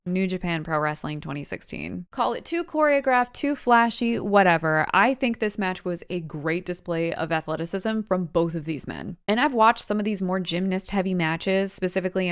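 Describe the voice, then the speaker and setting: A woman's voice: on the low side, lively intonation, moderate pace, hardly any pauses, smooth. A woman in her thirties hosting a casual vlog, talking to viewers with wry, playful, self-deprecating asides. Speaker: YouTube host reviewing wrestling matches.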